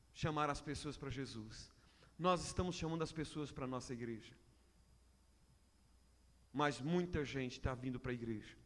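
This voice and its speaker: A man pastor preaching a sermon to his congregation, building to loud emphatic peaks. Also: medium pitch. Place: church hall. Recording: long church reverb.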